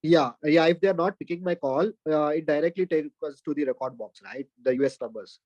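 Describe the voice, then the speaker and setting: A man with an Indian accent asking questions on a group video call, halting with many fillers and restarts. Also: a little high, darkish tone, no echo, lo-fi sound. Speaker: caller in a coaching group.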